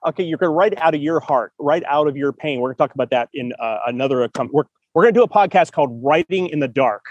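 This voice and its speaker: A man's voice: on the high side, expressive, fast talker, dry recording, dull audio. A man hosting a podcast, giving earnest, encouraging writing advice in a casual chat and repeating phrases for emphasis. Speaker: podcast host, writing coach.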